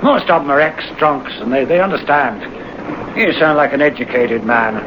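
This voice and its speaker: A gruff yet kindly policeman acted by a man speaks in a mid-range voice, lecturing bluntly. The radio play sounds dull and noisy with age. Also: medium pitch, moderate pace, lightly acted. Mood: gruffly sympathetic. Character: gruff but kindly male beat policeman. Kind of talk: 1940s-50s radio drama acting. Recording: noisy, muffled old radio recording.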